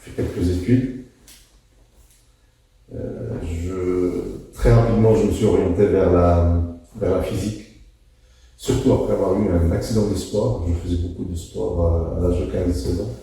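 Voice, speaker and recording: Echoey, dark-voiced man in his sixties. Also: low-pitched, steady tone. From Morocco, moved to France at thirteen. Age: 60s. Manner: halting, deliberate, repeats words for emphasis. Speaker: interview guest, physics teacher. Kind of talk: reflective conversational interview answers. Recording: very echoey room, distant mic.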